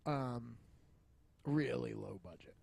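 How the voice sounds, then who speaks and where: A man chatting casually as a podcast film reviewer, feeling his way through his points in halting phrases. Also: medium pitch, vocal fry, darkish tone, breathy edge, no echo, clean studio sound. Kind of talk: casual chat.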